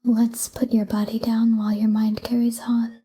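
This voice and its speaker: A woman guiding a sleep meditation in a calm, hushed, breathy whisper, soothing and soft. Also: a little high, moderate pace.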